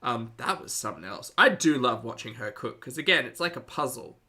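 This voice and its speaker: A man's voice: mid-range voice, big pitch swings, fairly quick, pushed and bright, husky. A man hosting a reaction stream, chatting casually to his live audience with dry, teasing sarcasm. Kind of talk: casual live commentary to a chat.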